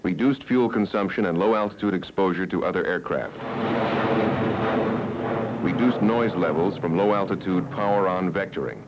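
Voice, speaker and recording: Low-voiced American male film narrator. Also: muffled recording. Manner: measured, instructional. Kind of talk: scripted narration. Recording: noisy 1970s training film soundtrack.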